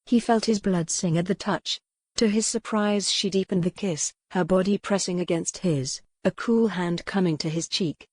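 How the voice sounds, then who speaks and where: A woman's voice: on the low side, moderate pace, a little mellow, no echo. A woman reading a historical romance novel as a scripted, dramatic audiobook narration.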